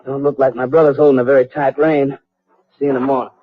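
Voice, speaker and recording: An actor playing a defiant, taunting young American cowboy, a younger brother, in an old-time radio Western. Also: male voice, medium pitch, flat delivery, fast, natural voice. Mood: cocky, insolent. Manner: taunting, folksy. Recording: tinny old radio recording, background noise.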